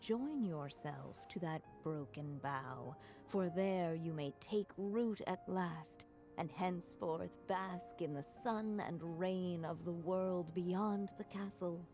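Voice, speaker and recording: A woman giving scripted fairy-tale narration in a game, in a slow, gentle storytelling manner. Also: on the low side. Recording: reverberant, with faint music.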